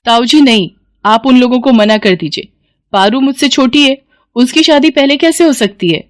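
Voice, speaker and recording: A woman's voice: high-pitched, normal speed, no echo, clean studio sound, close to an everyday voice. A woman voicing a spoiled, haughty young woman who whines and snaps. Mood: indignant.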